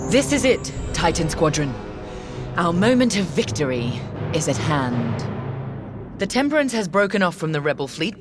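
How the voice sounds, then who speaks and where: Cold, imperious Imperial commander. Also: female voice, low voice. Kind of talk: scripted military briefing in a space game. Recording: dramatic music under the voice.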